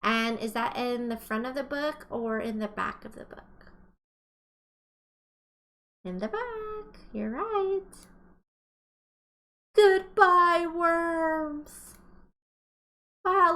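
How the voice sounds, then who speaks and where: Woman, kindergarten teacher, slightly high voice, slow pace, read-aloud lesson, encouraging questions to children. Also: fairly bright tone.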